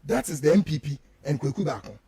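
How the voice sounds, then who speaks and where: A man's voice: fairly high pitch, expressive, quick pace, background noise. A Ghanaian man giving emphatic, repetitive political commentary as he switches between two languages, full of rhetorical turns.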